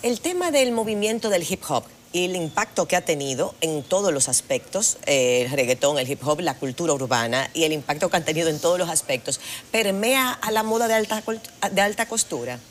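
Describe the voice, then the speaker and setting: A woman with a Dominican accent hosting a TV studio interview, chatty and quick with affirmations and playful asides. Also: lowish voice, animated, a little hiss or hum. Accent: Dominican Spanish. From Dominican Republic. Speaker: TV show host. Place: TV studio set.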